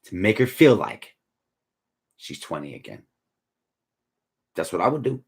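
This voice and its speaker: A man's voice: sits low, a little slow, creaky, husky. A man in his forties chatting casually on a live stream, reading viewer comments in a laid-back, slangy way, tired and yawning. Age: 40s. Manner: laid-back slangy, drifts from mumbling to excited.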